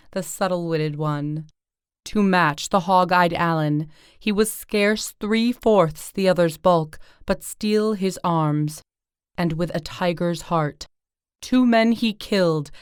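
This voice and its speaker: Female poetry narrator, exceptionally smooth, slightly low, unhurried. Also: no echo, studio quality. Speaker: poetry reader in a group recording. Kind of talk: measured narrative verse reading.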